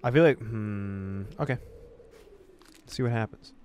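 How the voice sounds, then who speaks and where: A man's voice: on the low side, measured pace, a little mellow, a little hiss or hum. A man hosting a let's-play, reading story text expressively and dropping in casual asides. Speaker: gaming video host.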